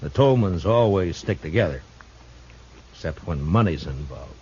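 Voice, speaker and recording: A man acting a calm, shrewd Old West marshal in a western radio drama, speaking in a dry, measured way. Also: sits low. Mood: probing. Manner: dry, measured, unhurried questioning. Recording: dull, noisy old radio recording.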